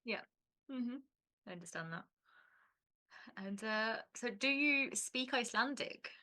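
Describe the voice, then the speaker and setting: A woman's voice: fairly high pitch, animated, slow pace, pushed and bright. A woman hosting a casual interview, giving short encouraging replies and asking questions. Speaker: podcast host.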